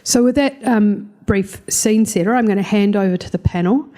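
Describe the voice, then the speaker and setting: A woman chairing a conference panel, speaking in a measured way with many ums and uhs. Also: mid-range voice, mellow.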